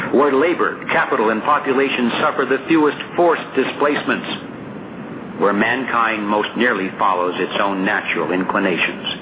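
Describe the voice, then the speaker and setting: A male audiobook narrator delivers a scripted essay at a medium pitch. His reading is deliberate and oratorical, with firm emphasis on key words. Clear noise sits behind the voice throughout the recording.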